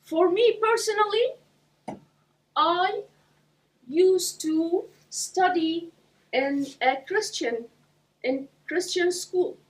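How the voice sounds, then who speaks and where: A woman from Iraq giving a prepared speech to a club audience, speaking slowly and deliberately with pauses between phrases. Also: very high-pitched. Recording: voice from a distance.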